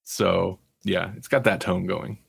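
A man's voice: low-pitched, flat delivery, measured pace, creaky, husky, no echo. A man co-hosting a podcast, chatting casually about pop culture. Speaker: podcast co-host.

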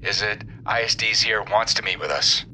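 Brisk colleague, very sharp bright voice. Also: male voice, on the low side, slight background noise. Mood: urgent, uneasy. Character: brisk, uneasy male colleague on undersea base. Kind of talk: science fiction audio drama.

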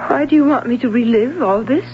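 A woman's voice: fairly high pitch, a little fast, lightly acted. An actress playing a reserved, insecure young woman, courteous but guarded in a radio drama. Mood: wary, startled. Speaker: actor. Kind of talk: American radio drama acting. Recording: muffled, hissy vintage radio broadcast.

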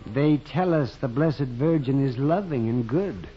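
An actor playing a bitter, excitable half-blind stonecutter in an old radio drama, theatrical and exclamatory. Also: male voice, medium pitch, a little slow, husky. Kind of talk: 1940s radio drama acting. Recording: muffled old radio, crowd behind.

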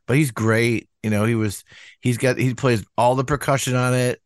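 A man musician talking casually in an interview, rambling with lots of "you know" fillers and acting out quotes in a higher voice. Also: steady tone, moderate pace, no room sound, studio quality. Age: older. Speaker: musician guest.

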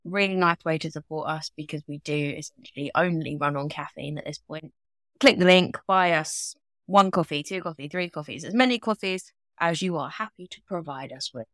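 A woman's voice: low voice, normal speed, a bit bright, no echo, clean studio sound. A woman co-hosting a podcast in casual chat, joking and rambling off on tangents. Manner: bubbly, rambling, jokey tangents. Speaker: podcast co-host.

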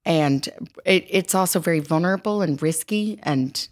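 A woman with a notably low voice speaks as a guest on a podcast. She is a psychotherapist and author who explains her ideas in a thoughtful, articulate way. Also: normal speed. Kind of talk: casual interview.

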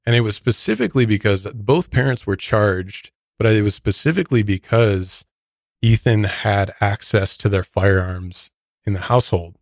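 A man's voice: lowish voice, moderate pace, dry recording, clean studio sound. An American man co-hosting a true crime podcast, giving his opinions in a casual, conversational way. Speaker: podcast co-host.

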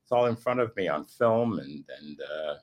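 Male guest author, Romanian native speaker, relaxed, self-deprecating, low-pitched. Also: moderate pace. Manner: chatty, self-deprecating, laughs at own jokes. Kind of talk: casual interview chat.

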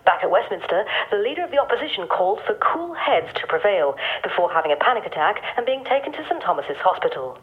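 A woman playing a serious radio news reader, measured, delivering a scripted bulletin through a radio filter. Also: mid-range voice, very animated. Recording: thin, phone-like radio broadcast sound.

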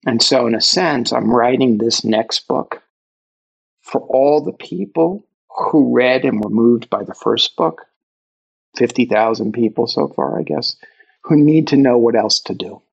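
A man from Brooklyn with a Brooklyn accent, talking candidly and reflectively as a guest author in an interview, pausing for emphasis. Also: medium pitch, vocal fry, slightly rough, muffled recording. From Brooklyn, New York.

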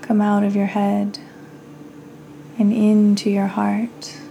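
Slow, very even-toned woman guiding meditation. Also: mid-range voice, vocal fry, slight background noise, low-quality recording. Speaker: podcast host and meditation guide. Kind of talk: guided meditation.

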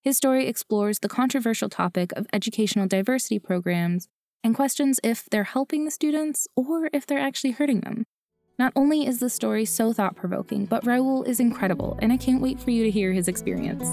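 A woman's voice: on the high side, a little fast, dark tone, faint music behind. A woman hosting a science storytelling podcast, reading a scripted introduction in an upbeat, eager way. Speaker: podcast host.

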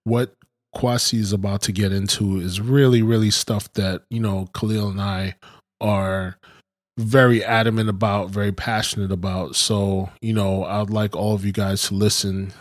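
A man's voice: fairly low pitch, little pitch movement, moderate pace, no echo, clean studio sound. A man co-hosting a podcast, speaking earnestly and leaning on "you know" a lot. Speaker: podcast co-host. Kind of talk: casual podcast talk.

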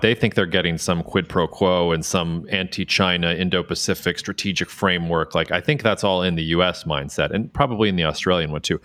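Brief: male podcast host, very low voice, laid-back and chatty. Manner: relaxed, chatty, filler-heavy. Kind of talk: casual podcast conversation.

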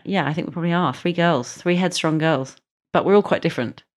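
A woman in her thirties, a company founder, telling her background in an animated, warm conversational way. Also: on the low side, fast talker. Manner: warm, animated storytelling with laughs. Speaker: company founder, interview guest. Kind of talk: casual conversational interview.

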